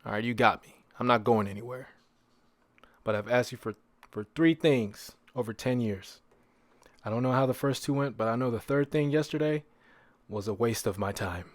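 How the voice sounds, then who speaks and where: A man speaks as a solo podcast host in a medium-pitched voice. His delivery is casual and conversational, with repeated phrases and rhetorical questions for emphasis. The sound is dry, with very little room around the voice. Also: normal speed, no echo.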